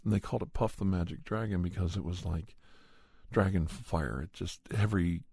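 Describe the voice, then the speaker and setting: Low, dark-voiced man as a slow storytelling doctor. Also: gravelly, breathy edge, dry recording, full character voice. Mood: measured, reflective. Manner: measured, halting, deliberate. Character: calm doctor recounting a monster hunt. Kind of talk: slow ASMR horror storytelling.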